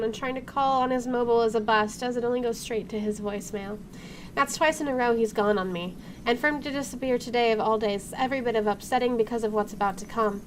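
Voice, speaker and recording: High-voiced woman reading a game aloud. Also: a bit bright. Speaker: game streamer. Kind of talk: reading visual novel narration aloud with asides. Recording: clearly noisy home recording.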